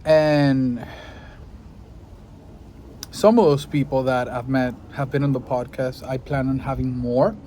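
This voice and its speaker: Man, Spanish speaker, unhurried, reflective, faint traffic hum behind. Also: mid-range voice, steady tone, warm tone. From Venezuela. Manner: thoughtful, searching for words. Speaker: podcaster and video creator. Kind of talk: casual reflective monologue.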